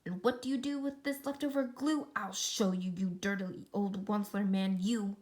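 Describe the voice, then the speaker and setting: A woman doing a grumpy, croaky little forest guardian. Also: mid-range voice, fairly even intonation, normal speed, a little mellow, natural voice. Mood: scolding. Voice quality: croaky, coughing delivery.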